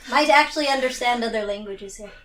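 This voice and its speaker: Female roleplaying gamer, conversational. Slightly high register, roomy reverberant recording. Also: fast, fairly bright tone. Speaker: tabletop roleplaying player. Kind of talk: casual game chat, describing character actions. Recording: echoey room sound.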